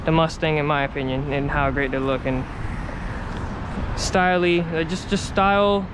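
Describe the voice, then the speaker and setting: A man's voice: fairly high pitch, normal speed. A young man vlogging casually, laid-back and swearing freely while he looks over cars. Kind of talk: casual vlog. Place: outdoors at a car lot and in a truck cab. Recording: outdoor traffic and wind noise.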